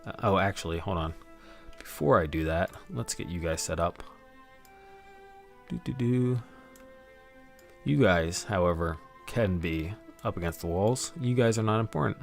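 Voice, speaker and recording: A man's voice: low voice, expressive, normal speed, vocal fry, faint music behind. A man streaming his game prep in a casual way, rambling to himself as he works. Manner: rambling self-talk while working. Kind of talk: casual thinking-aloud stream commentary.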